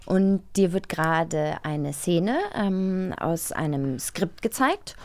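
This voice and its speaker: A woman's voice: on the low side, lively intonation, takes it slowly. A woman co-hosting a podcast, chatting casually. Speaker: podcast co-host. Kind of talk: casual chat.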